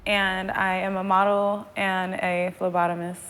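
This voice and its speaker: A woman's voice: medium pitch, flat delivery, measured pace, background noise. A woman in her thirties, a dating show contestant chatting in a giggly, bubbly way. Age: 30s. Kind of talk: casual chat.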